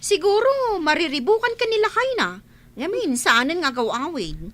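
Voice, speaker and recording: A woman's voice: very high voice, very animated, normal speed, fairly bright tone, full character voice. A woman with an Ilocano accent playing a nosy, sarcastic village gossip in a radio comedy-drama, taunting and exclamatory. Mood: prying.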